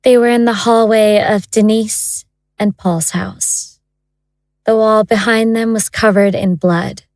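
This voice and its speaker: An actress playing a wry, hard-boiled storyteller, narrating a crime tale in a deliberate, matter-of-fact way. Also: female voice, medium pitch, fairly even intonation, a little mellow, dry recording, natural voice. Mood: grim, matter-of-fact.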